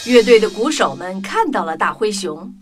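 A woman's voice: mid-range voice, big pitch swings, moderate pace. A woman narrating a children's story in a scripted storytelling style. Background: music.

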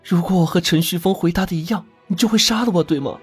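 A woman's voice: on the low side, quick pace, husky, soft background music. A woman playing a frightened young captive in an audiobook story, timid yet probing with her questions.

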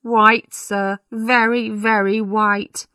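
Woman as a worried parish clerk, raised pitch. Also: level tone, extremely slow, bright, forceful tone, dry recording. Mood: worried, deferential. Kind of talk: storybook character voice.

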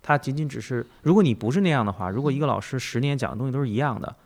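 A man's voice: mid-range voice, expressive, rapid-fire. A man hosting a reflective conversational interview, speaking emphatically and repeating phrases to drive points home. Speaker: podcast host and teacher.

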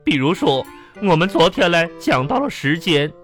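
Exasperated schoolteacher, drawn-out pedantic scolding, animated intonation, radio comedy, light music behind. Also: male voice, on the high side. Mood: instructing. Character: exasperated, fussy primary-school teacher with garbled pronunciation. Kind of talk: comedy radio drama acting.

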